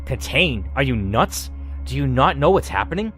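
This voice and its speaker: A man reads as a woman scientist who has survived a disaster. She is brisk and sardonic, giving terse, matter-of-fact answers in a horror game, with music behind. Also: medium pitch, big pitch swings, fairly bright tone. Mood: grave.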